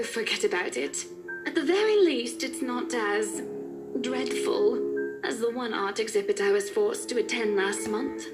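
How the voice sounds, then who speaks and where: A woman voicing a haughty, wealthy socialite wife in a visual novel game, gushing with condescending, sugary politeness. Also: a little high. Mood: dismissive. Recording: music playing behind the voice.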